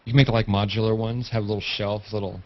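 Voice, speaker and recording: A man's voice: fairly low pitch, flat delivery, quick pace, a little hiss or hum. A man chatting casually and playfully in a group video call, with dull, lo-fi sound.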